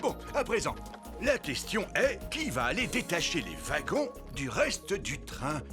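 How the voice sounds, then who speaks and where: A man's voice: a little high, wildly swooping pitch, measured pace, over music, exaggerated voice. A man voicing a scheming, theatrical villain leader in a French cartoon dub. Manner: cackling, theatrical.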